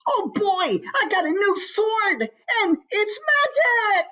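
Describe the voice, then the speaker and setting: A man voicing an excited, wide-eyed young halfling boy in a fantasy cartoon style. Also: very high-pitched, slow pace, voice pushed up, full character voice. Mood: thrilled.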